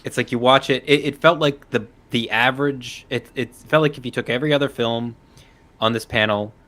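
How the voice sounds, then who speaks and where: A man's voice: medium pitch, fairly even intonation, brisk, fairly bright tone. A man speaking casually as a panelist in a live discussion. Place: convention panel room.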